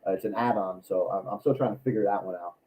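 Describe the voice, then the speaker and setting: A man with a raspy voice from allergies, chatting casually on a livestream about his builds, rambling with many ums and restarts. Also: low voice, quick pace, warm tone, poor audio. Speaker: cosplay maker and YouTuber.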